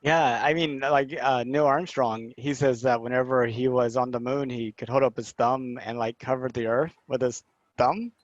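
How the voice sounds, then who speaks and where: A man's voice: mid-range voice, steady tone, normal speed, no echo. A man interviewing a guest in a friendly, joking way, with hesitant starts. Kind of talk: casual video-call interview. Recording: dry, dull lo-fi audio.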